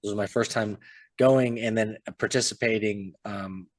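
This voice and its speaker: A man's voice: on the low side, steady tone, moderate pace, no room sound. A man, an author co-hosting a podcast, chatting casually and rambling, often trailing off with "so". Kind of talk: casual chat.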